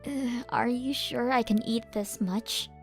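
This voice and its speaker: A humble young mother in a manga dub acted by a woman. Her voice is fairly high, meek and hesitant, always apologetic. Light music sits behind her. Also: steady tone, slow pace.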